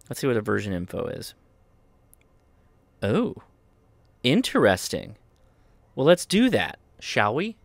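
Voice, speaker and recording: A man with a fairly low voice talks through a hands-on product review. He sounds informal, thinking aloud step by step, and the recording is close and dry with little room sound. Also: creaky, fairly bright tone, dry recording. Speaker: host of a radio gear review channel. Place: indoors at a desk.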